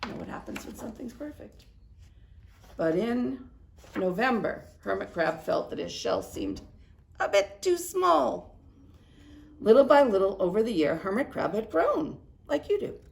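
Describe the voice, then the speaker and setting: A woman preschool teacher reading a storybook aloud in an expressive way, with little explanatory asides to young children. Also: fairly high pitch, a little slow, vocal fry.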